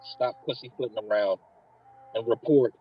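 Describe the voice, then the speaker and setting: A man's voice: medium pitch, normal speed, slight background noise, close to an everyday voice. Guy reading as a tense sweary army captain in a script read, barking short orders, muffled sound. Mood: irritated. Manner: curt, demanding, profane. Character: tense, foul-mouthed military captain in command. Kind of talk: screenplay table read.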